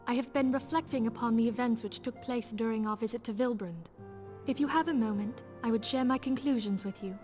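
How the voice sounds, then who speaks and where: A thoughtful noblewoman leader voiced by an actress speaks in formal, archaic phrasing. Her voice is pitched a little high, measured and articulate, with faint music underneath. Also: a little mellow, natural voice. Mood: earnest, reflective. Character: composed, scholarly noblewoman leader, earnest and thoughtful. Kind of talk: scripted video game dialogue, archaic formal English.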